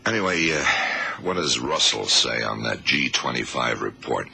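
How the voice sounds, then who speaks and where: A man playing a calm, probing blind American detective in an old radio drama, with a gravelly, measured delivery. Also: rumbling low, heavily acted. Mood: dry, probing. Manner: unhurried, measured, terse. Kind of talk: 1970s radio drama acting. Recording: faint noise, old radio recording.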